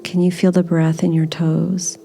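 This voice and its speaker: A woman leading a guided body scan meditation in a gentle, soothing, unhurried manner. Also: lowish voice, level tone, vocal fry.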